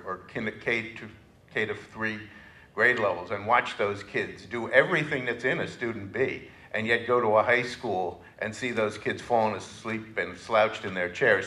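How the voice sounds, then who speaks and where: An American man in his fifties haltingly putting an unscripted question to a panel on a handheld microphone. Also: fairly low pitch, fairly bright tone. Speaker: audience questioner, architect. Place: meeting room, indoors. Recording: echoey meeting room.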